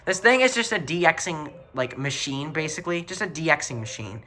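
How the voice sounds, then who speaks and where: A man's voice: a little high, animated, moderate pace, bright, forceful tone. A young man hosting an off-the-cuff show-and-tell, rambling and repeating himself with blunt opinions. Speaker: video host showing a radio collection.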